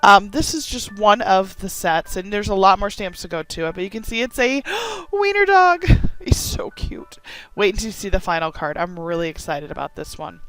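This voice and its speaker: Hoarse, gushing woman craft host. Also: mid-range voice, lively intonation, normal speed, a bit bright, soft background music, poor audio. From Wisconsin, USA. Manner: enthusiastic, gushing. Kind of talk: casual card-making tutorial.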